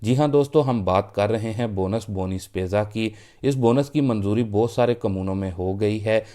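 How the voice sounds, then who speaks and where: Low-voiced man reading news updates. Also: moderate pace. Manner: addresses viewers as friends, steady news-reading delivery. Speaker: news presenter. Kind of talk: read news update in Urdu.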